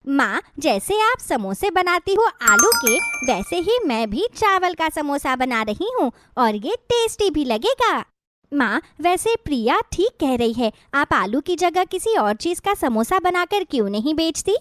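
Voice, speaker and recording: Woman voicing a high, bubbly little girl. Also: big pitch swings, a little slow, fairly bright tone, no echo, heavily acted. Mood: playful. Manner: childlike, bubbly. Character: eager, chatty little girls, daughters of a poor mother. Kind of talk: bedtime-story dialogue.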